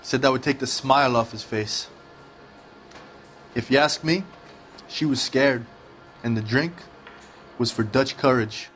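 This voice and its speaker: A man's voice: medium pitch, measured pace, pauses often, a bit bright, faint music behind, close to an everyday voice. An actor playing a world-weary male bartender in a 1940s detective video game, talking in a casual, slangy way. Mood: frank, wry. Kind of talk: scripted dramatic game acting.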